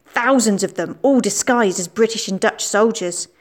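A woman voicing an excitable schoolboy passing on rumours. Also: mid-range voice, normal speed, natural voice. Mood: eager.

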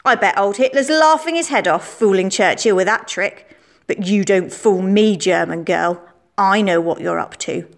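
A woman's voice: medium pitch, moderate pace, natural voice. A woman voicing a spiteful schoolboy who taunts and accuses a classmate. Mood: taunting. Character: boastful spiteful schoolboy bully.

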